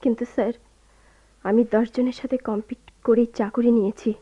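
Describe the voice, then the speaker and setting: High-voiced, grieving young wife. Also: female voice, fairly even intonation, fairly quick, warm tone, breathy edge. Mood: flustered. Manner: earnest, deferential, emotional. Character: proud, grieving young wife, an office typist. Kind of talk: radio drama acting. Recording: dull lo-fi radio drama recording.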